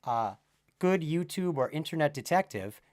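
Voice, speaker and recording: A man's voice: a little high, lively intonation, normal speed, darkish tone. Chatty man with a slightly froggy voice. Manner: chatty, jokey, talks in long run-on sentences. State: slightly hoarse from a cold. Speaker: podcast co-host. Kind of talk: casual live-stream chat.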